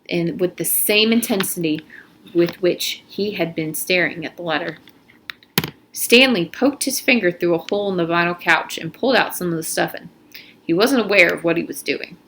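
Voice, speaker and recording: A woman reading a children's story aloud in an expressive, animated narrating style. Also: lowish voice, slight background noise, poor audio. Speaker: reader of a children's novel aloud. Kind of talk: read-aloud story narration with character voices.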